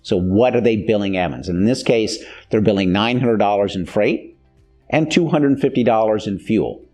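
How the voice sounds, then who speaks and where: A man's voice: lowish voice, a little mellow. An American man in his fifties giving a plain-spoken, instructional explanation as the host of a training video. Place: indoor video studio.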